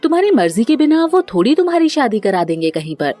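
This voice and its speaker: A woman's voice: sits high, sing-song, fast, mellow, faint music behind, voice pushed up. A woman voicing an evasive young office man, a placating, defensive boyfriend in a cartoon family drama. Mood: casual, evasive.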